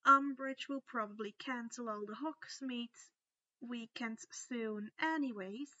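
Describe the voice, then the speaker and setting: Woman playing an offhand, bright-voiced teenage boy. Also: fairly high pitch, no room sound, dull audio, full character voice. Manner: slow, offhand. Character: easygoing teenage schoolboy, blunt and hesitant about adults.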